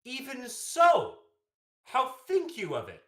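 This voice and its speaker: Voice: sits high, huge pitch swings, slow, fairly bright tone, full character voice. A young actor playing a scheming, proud and persuasive usurper king in a Shakespearean radio play, in emphatic formal verse. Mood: eager. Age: young adult. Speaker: student actor.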